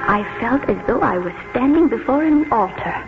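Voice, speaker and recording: An actress playing an earnest, idealistic young schoolteacher in 1940s radio drama, with a heartfelt, theatrical delivery. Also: female voice, a little high, animated, moderate pace. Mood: solemn, exalted. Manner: heartfelt, theatrical, hesitant when moved. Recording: dull vintage radio broadcast, loud music.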